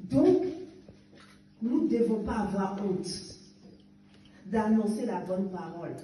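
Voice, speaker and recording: A woman's voice: medium pitch, dark tone, a little hiss or hum. A woman in her fifties giving a fervent testimony and exhortation in church, with emphatic rising bursts. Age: 50s. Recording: echoey church hall picked up from a distance.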